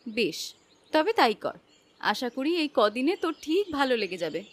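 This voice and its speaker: A woman's voice: sits high, big pitch swings, normal speed. An actress playing an anxious, possessive middle-aged mother and wife in a melodramatic cartoon story. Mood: coaxing.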